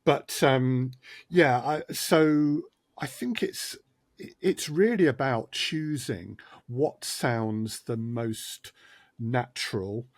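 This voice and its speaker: A man's voice: mid-range voice, animated, extremely slow, no echo, studio quality. A man, a podcast guest, thoughtfully explaining his production process step by step. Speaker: guest, audio drama producer. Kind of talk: casual podcast conversation.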